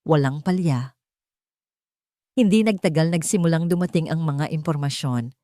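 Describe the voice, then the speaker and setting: Low-pitched Filipino woman narrating a dramatic story. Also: a little mellow, no echo, clean studio sound.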